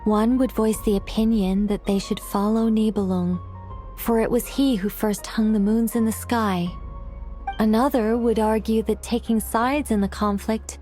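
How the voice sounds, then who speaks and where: An actress voicing a learned, composed young woman recounting ancient lore in a video game, measured and scholarly. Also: on the high side, steady tone, mellow, faint music behind, close to an everyday voice. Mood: solemn, explanatory. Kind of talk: scripted game dialogue.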